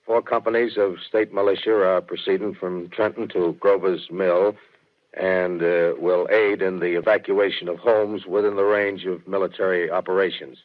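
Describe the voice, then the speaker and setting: Actor as a deep-voiced stiff general, phone-like sound. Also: male voice, steady tone, close to an everyday voice. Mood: stern, official. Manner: stiff, deliberate, slightly halting. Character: stern, official male militia brigadier general. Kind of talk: 1930s radio drama official statement. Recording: thin phone-like vintage radio sound.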